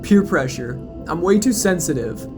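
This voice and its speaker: A man performs a wounded, manic sidekick in a slasher audio drama. The young male character whines and pleads in a raised, slangy voice, with clear music behind. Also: fairly high pitch, lively intonation, normal speed, voice pushed up, close to an everyday voice. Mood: sheepish.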